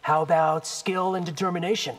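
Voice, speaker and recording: A man's voice: fairly high pitch, steady tone, a little fast, lightly acted. A man voicing a cocky, determined young male ninja fighter in video game fight banter. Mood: earnest, determined. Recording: long hall reverb, loud noise behind.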